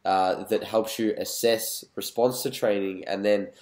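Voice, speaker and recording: A man hosting a podcast, his voice stuffy and nasal from a cold. He sits a little low in pitch and speaks with enthusiasm. The sound is roomy and echoey. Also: fairly even intonation, unhurried. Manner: warmly enthusiastic wrap-up. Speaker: podcast host. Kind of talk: casual interview talk. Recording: echoey room.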